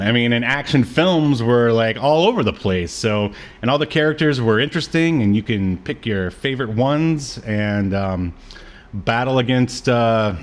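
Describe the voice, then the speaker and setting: A man chats casually as a podcast co-host, in an ordinary mid-pitched voice. He has a joking, teasing way of talking and keeps things informal and conversational. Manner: jokey, teasing. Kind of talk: casual banter and chat.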